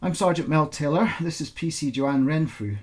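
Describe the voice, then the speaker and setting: A man's voice: fairly high pitch, moderate pace, voice pushed up, lightly acted. A man voicing a formal, businesslike policewoman in a novel reading, with procedural, clipped questioning. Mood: calm, formal.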